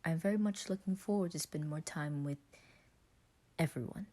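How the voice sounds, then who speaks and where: A woman's voice: sits low, moderate pace, mellow. A woman voicing a polite man in a story, with formal, precise, rather robotic phrasing.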